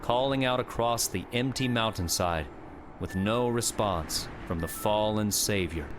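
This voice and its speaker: A man's voice: fairly low pitch, measured pace. A man reading scripted third-person fiction as an audiobook narrator. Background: prominent music with outdoor ambience.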